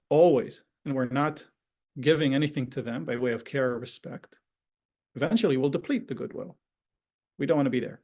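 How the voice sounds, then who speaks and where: A man's voice: a little high, creaky, darkish tone. A man whose first language is Hebrew, giving a conversational online parenting workshop talk over a video call and often checking in with "right?". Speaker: parenting educator.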